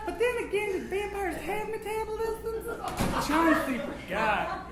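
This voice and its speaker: A man's voice: very high-pitched, lively intonation, unhurried. A man voicing a vain, absent-minded old vampire, reading a comic storybook aloud in a melodramatic, pompous, campy manner. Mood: fussy, musing. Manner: melodramatic, pompous, campy, hushed then suddenly loud. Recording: echoey room, noisy live recording.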